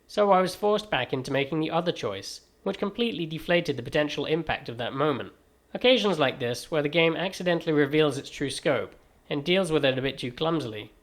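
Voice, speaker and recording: A man with a transatlantic accent narrating a scripted game review in a wry, dry-humoured manner. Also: fairly high pitch.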